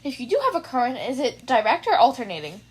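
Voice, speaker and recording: A woman's voice: high-pitched, big pitch swings, fast talker, breathy edge, exaggerated voice. A woman voicing a nervous, eager, brainy teenage boy who rambles and apologizes in a fan fiction reading. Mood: excited rambling. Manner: rambling, apologetic, self-effacing. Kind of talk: fan fiction audiobook character voice.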